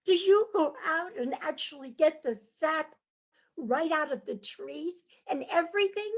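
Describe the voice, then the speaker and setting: A woman's voice: sits high, expressive, a little slow. A woman playing a naive, eager, cheerfully enthusiastic tourist wife in an amateur staged play reading. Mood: curious, eager. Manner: eager, wide-eyed, chirpy.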